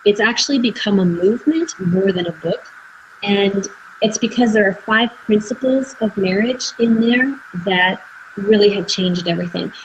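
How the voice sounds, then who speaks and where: A woman's voice: medium pitch, normal speed, dull audio, a little hiss or hum. A woman hosting a live video chat, telling her own story earnestly with fillers and restarts. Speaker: host. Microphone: video call.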